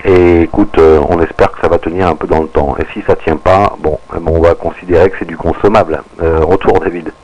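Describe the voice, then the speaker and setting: A man's voice: low voice, fairly even intonation, fairly quick, few pauses, a little mellow. A man chatting casually as an amateur radio operator, hesitating and repeating his phrases, heard on lo-fi audio. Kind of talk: casual ham radio chat.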